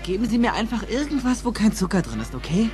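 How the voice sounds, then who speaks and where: A man's voice: high-pitched, big pitch swings, fast talker, over music. An actor playing a bewildered, impulsive teenage boy with an urgent, questioning manner in a German dubbed audio drama.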